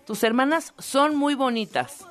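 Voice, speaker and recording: A Mexican woman co-hosting a talk show, telling stories in casual, expressive banter. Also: high voice, a little slow, soft background music. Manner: expressive storyteller. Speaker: co-host.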